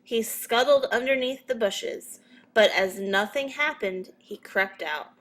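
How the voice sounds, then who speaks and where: A woman reading a children's story as a narrator, in a measured but expressive storytelling manner. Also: on the high side. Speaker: storybook reader.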